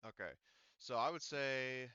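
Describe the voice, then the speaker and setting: A man's voice: medium pitch, measured pace, sharp tone, smooth, no room sound, highs cut off. A man running a tabletop roleplaying game as game master, explaining things casually and somewhat hesitantly.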